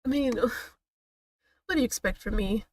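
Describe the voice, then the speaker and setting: Higher-voiced woman reading a game aloud, slightly distant. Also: normal speed. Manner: giggly, self-deprecating. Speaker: streamer reading a visual novel aloud. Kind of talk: casual playthrough commentary and read-aloud narration.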